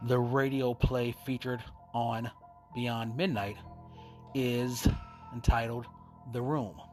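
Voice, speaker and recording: A man hosting a show, reading a scripted introduction in measured, deliberate phrases. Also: mid-range voice. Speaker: podcast host. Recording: music behind the voice. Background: music.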